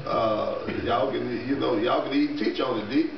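A man's voice: medium pitch, moderate pace, gravelly, over a noisy crowd. A middle-aged man, a pastor, telling a story casually from the pulpit, laughing and dropping amens as he goes. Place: small church sanctuary, indoors. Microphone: podium mic at a distance. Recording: echoey church room, distant camera mic, dull.

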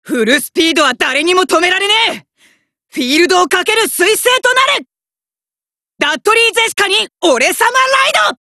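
An actor voicing a cocky, hot-blooded young male fighter in an anime card game, rough-talking and arrogant, with emphatic battle cries. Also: very high voice, expressive, normal speed, pushed and bright, no echo, clean studio sound, full character voice. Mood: fierce, triumphant.

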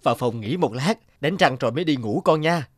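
A man voicing a gentle, caring husband and father in a cartoon, soft-spoken and reassuring. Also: medium pitch, lively intonation, a little fast, breathy edge, no room sound, studio quality. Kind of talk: animated story voice acting.

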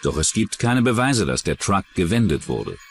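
A man's voice: low voice, sing-song, normal speed, creaky, slight background noise. A man narrating a true-crime documentary from a script as its narrator.

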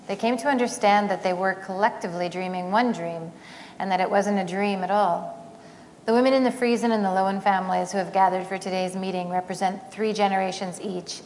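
A middle-aged woman reading aloud from a novel, measured and quiet, seated on a theater stage. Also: medium pitch. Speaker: author reading. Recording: long hall reverb, faint noise.

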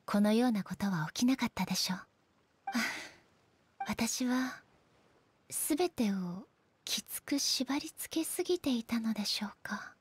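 An actress playing a composed, solemn shogun deity in an anime game, speaking formally and with measured, stately delivery. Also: female voice, a little high, expressive, husky, heavily acted. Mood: reflective. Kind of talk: Japanese anime game voice acting.

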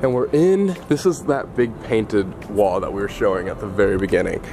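A man in his twenties chatting casually and excitably as he vlogs an exploration outdoors, often joking. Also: on the low side, moderate pace, crowd noise. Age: 20s. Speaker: vlogger. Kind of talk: casual exploring vlog chat. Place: outdoors in an industrial yard, moving. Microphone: handheld camera at close range. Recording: music over outdoor handheld audio. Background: background music.

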